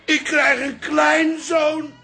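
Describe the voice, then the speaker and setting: A man's voice: very high voice, flat delivery, slow pace, bright, forceful tone, exaggerated voice. An actor playing a blunt, boastful older pub-owner father in a radio comedy-drama, grumbling and colloquial. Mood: proud, excited.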